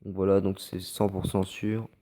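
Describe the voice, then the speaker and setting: A man's voice: low voice, little pitch movement, normal speed, dark tone. A man with a French accent, stuffy from a cold, giving casual, hesitant commentary over recorded game matches with many fillers and restarts. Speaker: gameplay commentator.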